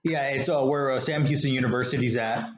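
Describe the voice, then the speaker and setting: A man with a mid-pitched voice tells stories in a casual, meandering way, often stopping and restarting with plenty of "like"s. He is recorded indoors in a living room, with noticeable room echo around his voice. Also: fairly even intonation. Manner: rambling and halting, peppered with "like". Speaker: show host, rideshare driver telling stories. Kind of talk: casual chat, storytelling. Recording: roomy, echoey living room.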